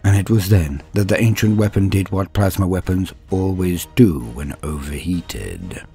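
A man's voice: deep voice, moderate pace, over music. A man narrating scripted fiction and lore in a theatrical, grandiose storyteller manner.

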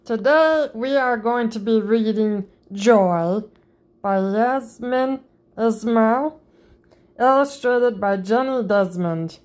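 A woman reading a picture book aloud to children in a slow, sing-song manner, jumping up high on the playful sound words. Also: a little mellow. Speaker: storybook reader.

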